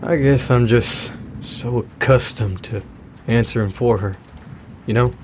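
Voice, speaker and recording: Actor as a grieving father, halting. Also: mid-range voice, a little hiss or hum, close to an everyday voice. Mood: subdued, candid. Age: middle-aged. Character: grieving, caring widowed father of a daughter. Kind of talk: naturalistic audio drama acting.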